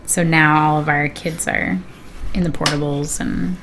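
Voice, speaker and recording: A woman's voice: sits low, steady tone, a little slow, background noise. A woman chatting casually as a guest on a game stream. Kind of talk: casual chat.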